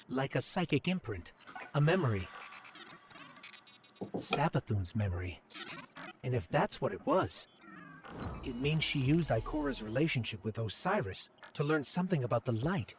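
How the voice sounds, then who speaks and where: An actor playing an earnest, protective robot companion in a video game, speaking in a calm, measured, serious way. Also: male voice, mid-range voice, a little hiss or hum, close to an everyday voice. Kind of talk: game cutscene dialogue.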